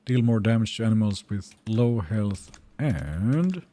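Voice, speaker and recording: A man with a Swedish accent, Finnish by first language, chats through a live game stream at an unhurried pace. His voice is mellow and dark in tone, with lively swings in pitch. He muses out loud and replies to viewers as he plays. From Sweden. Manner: thinking aloud, musing and answering chat. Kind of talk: casual live-stream chat while gaming.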